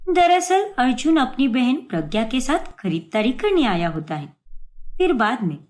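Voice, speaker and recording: High, very animated woman storyteller. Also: unhurried. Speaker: narrator. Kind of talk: scripted storytelling narration.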